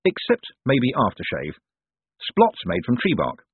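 A man's voice: mid-range voice, huge pitch swings, unhurried, breathy edge, no echo, narrow, tinny audio, voice pushed up, full character voice. Man playing a wry, lighter-voiced rogue banker. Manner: wry, casual. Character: wry, quick-witted male rogue banker, weary and casual.

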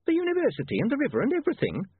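A man's voice: huge pitch swings, brisk, breathy edge, no room sound, heavily acted. A man voicing a prim, fussy middle-aged landlady, easily affronted and flustered, in an audiobook. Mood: flustered, nervous. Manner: fussy, high-pitched exclaiming. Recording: muffled narrow-band, phone-like radio sound.

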